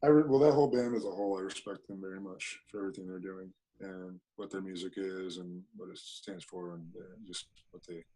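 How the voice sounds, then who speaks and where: A young man with a deep voice, a podcast guest chatting casually and hesitantly, often restarting his words. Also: fairly even intonation, fairly quick, few pauses, warm tone, low-quality recording. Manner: easygoing, hesitant, repeats words. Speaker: podcast guest, punk band singer. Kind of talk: casual chat.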